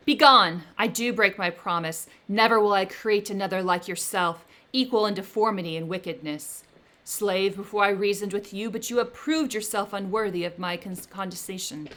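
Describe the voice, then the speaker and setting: A woman's voice: medium pitch, moderate pace, lightly acted. Woman reader voicing a young male scientist: defiant, furious, firm, emphatic. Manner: loud, emphatic declamation.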